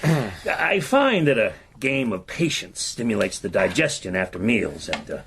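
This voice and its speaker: A drawling shrewd American gentleman. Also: fairly low pitch, very animated, vocal fry, slight background noise, exaggerated voice. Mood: genial. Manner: slow, drawling, with many hesitations. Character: shrewd older American businessman, genial, deliberate. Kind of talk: radio drama acting.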